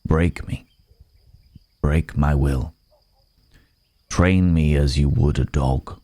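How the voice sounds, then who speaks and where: A man playing a weary, remorseful werewolf in human form, speaking haltingly and gravely in an intimate ASMR roleplay. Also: a bass voice, fairly even intonation, mellow, no echo, exaggerated voice. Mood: grave, haunted.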